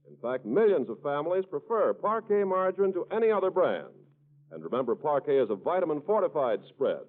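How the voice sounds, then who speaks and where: A man's voice: a little high, big pitch swings, a little fast. A man with an American accent announcing a commercial in the polished style of 1940s radio. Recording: tinny 1940s radio recording.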